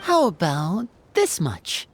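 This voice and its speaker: A woman's voice: on the low side, wildly swooping pitch, slow pace, slight background noise, exaggerated voice. A woman playing a formal, steadfast young adventurer in a video game, polite and composed in her speech. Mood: courteous. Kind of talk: video game character acting.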